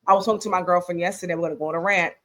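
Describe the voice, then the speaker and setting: A woman's voice: fairly low pitch, steady tone, very fast, a bit bright. A woman co-hosting a podcast, chatting casually and playfully and laughing easily. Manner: playful, laughs easily, self-described messy. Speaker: podcast co-host. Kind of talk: casual chat.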